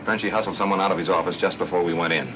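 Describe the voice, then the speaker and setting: Fast, low-voiced American police detective played by a man. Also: steady tone, noisy background, lightly acted. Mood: shrewd, decisive. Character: confident, shrewd police detective, tough and brisk. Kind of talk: 1940s film serial acting. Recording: old 1940s film soundtrack with music.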